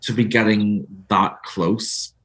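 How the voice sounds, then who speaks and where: Northern Irish man, thoughtful and halting. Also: fairly low pitch, flat delivery, fairly bright tone, rough recording. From Northern Ireland. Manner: thoughtful, hesitant, frequent restarts. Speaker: author guest. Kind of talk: casual interview chat.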